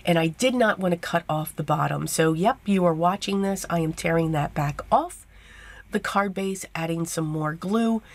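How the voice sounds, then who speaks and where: A woman with a tired, hoarse voice narrating a card-making tutorial step by step, chatty and casual. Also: lowish voice, normal speed. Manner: chatty step-by-step explaining with fillers. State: losing her voice, hoarse. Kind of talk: voice-over craft tutorial.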